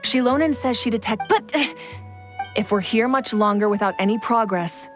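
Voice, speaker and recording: A tactful, friendly game heroine, acted by a woman, with a fairly high voice. She sounds composed and articulate, reassuring those around her. Music plays clearly behind her. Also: moderate pace, natural voice. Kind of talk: video game voice acting.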